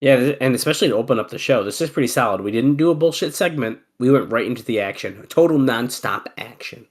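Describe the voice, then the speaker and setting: A man's voice: mid-range voice, fast talker. Sick man calling wrestling moves. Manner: relaxed play-by-play mixed with banter. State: sick with a cold, congested. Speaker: podcast co-host. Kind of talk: casual wrestling commentary chat.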